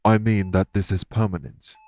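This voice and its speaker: A man playing a solemn, guilt-ridden fae in an audio roleplay, speaking formally and earnestly in measured, deliberate phrases. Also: low-pitched, noisy background. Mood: grave, explanatory. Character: solemn, guilt-ridden male fae explaining magic to a patient. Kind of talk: audio roleplay acting, addressed to listener.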